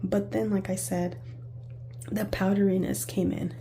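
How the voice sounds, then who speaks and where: A hoarse woman with a raspy, worn voice that sits dark and mellow, talking casually through a perfume review. She rambles and corrects herself as she goes, with a faint hum behind her. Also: medium pitch, flat delivery, unhurried. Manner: chatty, rambling with self-corrections.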